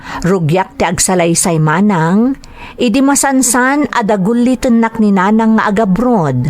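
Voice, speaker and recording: A woman's voice: mid-range voice, animated, unhurried, a little mellow. A woman with an Ilocano accent hosting a podcast, reading greetings and letters as a scripted narrator.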